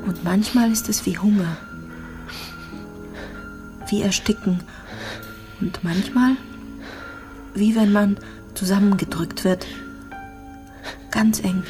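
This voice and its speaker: A gravely ill young woman in a German radio play, with a lowish voice. She speaks softly and haltingly, melancholic and quietly fearful, with music clearly behind her. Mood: quietly anguished. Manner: soft, halting, introspective. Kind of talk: radio drama monologue.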